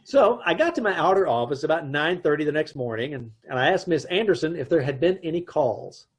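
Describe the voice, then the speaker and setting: A man playing a wisecracking advertising man who also narrates, in an old-time radio comedy, deadpan and dry, over a video call. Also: a little high, brisk, breathy edge.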